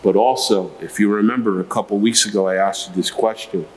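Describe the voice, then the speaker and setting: Low-voiced middle-aged priest preaching. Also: male voice, steady tone, a little fast, low-quality recording. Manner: explanatory, emphatic, with rhetorical questions. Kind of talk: homily, spoken to a congregation. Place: church sanctuary at a lectern. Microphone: gooseneck lectern microphone.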